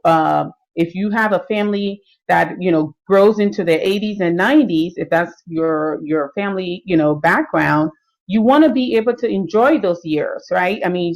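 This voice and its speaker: A woman's voice: lowish voice, rough recording. A middle-aged woman giving a live stream talk on fitness and nutrition, explaining at an easy pace with many fillers. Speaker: health and fitness coach.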